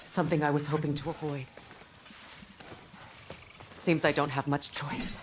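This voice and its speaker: A woman's voice: deep voice, little pitch movement, measured pace, a little hiss or hum. A woman voicing a proud, bitter exiled sorceress in a video game, speaking in a curt and imperious way. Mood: worried. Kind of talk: video game character acting.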